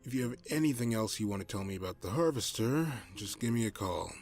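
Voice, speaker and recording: Casting: male actor as low-voiced hard-nosed detective, cocky and blunt, for an audio drama with light music underneath. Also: animated, quick pace, a little mellow. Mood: gruffly reassuring. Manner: blunt, swearing, condescending. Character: gruff cynical male detective, cocky and determined.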